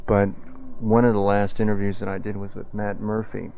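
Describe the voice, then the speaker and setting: A man's voice: lowish voice, flat delivery, moderate pace, creaky. A man working as a radio DJ, conducting a casual on-air interview. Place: radio station studio. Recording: dull, noisy 1982 AM radio aircheck.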